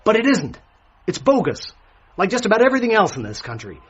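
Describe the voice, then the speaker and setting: Radio thriller role: insistent reporter, earnest yet sardonic, fairly high and animated, slightly muffled sound. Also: male voice, fairly quick, breathy edge, exaggerated voice. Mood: urgent. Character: urgent, wry male newspaper reporter. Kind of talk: radio drama dialogue. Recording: dull, faintly noisy radio recording.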